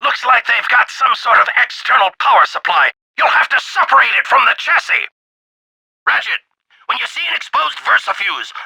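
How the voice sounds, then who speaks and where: Casting: bold older alien wingman general for a game, wildly swooping gravelly delivery, loyal and commanding, over dry radio comms. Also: extremely high pitch, bright, forceful tone, no echo, exaggerated voice, poor audio. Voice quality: gravelly, raspy. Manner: military, commanding, emphatic. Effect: radio filter. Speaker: wingman pilot giving orders over radio. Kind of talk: video game voice acting, combat radio chatter.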